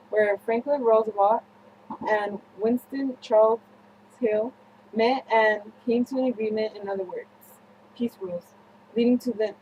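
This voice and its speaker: A young woman presenting a scripted student newscast, reading haltingly with slips and self-corrections. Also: on the high side, mellow, highs cut off, slight background noise. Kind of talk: scripted news-style narration for a history project.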